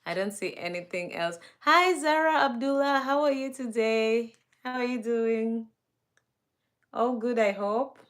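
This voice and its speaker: A woman's voice: on the high side, a little slow, a bit bright. A woman with a Kenyan accent hosting a casual livestream, chatting easily and greeting viewers, with many fillers and restarts. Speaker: livestream host. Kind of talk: casual livestream chat reading viewer comments.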